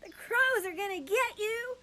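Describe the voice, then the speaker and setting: A woman's voice: very high-pitched, a little slow, sharp tone, slight background noise, full character voice. A woman playing a taunting young schoolgirl who calls out teasingly in a radio drama. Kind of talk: horror radio drama acting.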